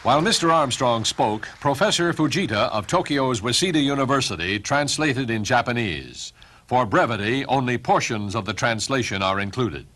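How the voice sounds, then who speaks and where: A man reading scripted narration for a program, measured and plain. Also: mid-range voice, animated, a bit bright.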